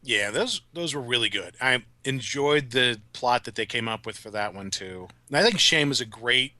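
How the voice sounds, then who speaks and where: Man, bright forceful tone, dry close sound, enthusiastic, articulate, casual podcast guest. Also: medium pitch, animated, moderate pace, no echo. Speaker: guest, writer of audio dramas.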